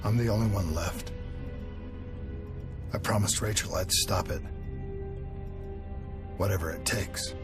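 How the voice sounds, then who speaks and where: An actor playing a determined, loyal soldier hero in a dramatic video game cutscene. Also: male voice, deep voice, unhurried, long pauses. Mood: grieving, resolute. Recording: game music behind the voice.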